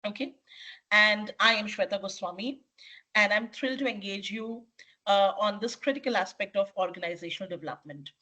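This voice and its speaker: A woman with an Indian English accent and a husky voice from being unwell, opening a webinar as a trainer in an upbeat, polite way. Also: medium pitch, fairly quick, sharp tone, poor audio. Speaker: leadership coach and trainer. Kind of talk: webinar presentation. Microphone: computer mic on video call.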